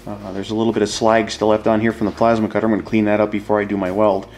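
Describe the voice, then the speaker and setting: A man's voice: lowish voice, level tone, rapid-fire, darkish tone, slight background noise. A man explaining a hands-on demonstration in a casual, informal way, as an instructor talking to viewers.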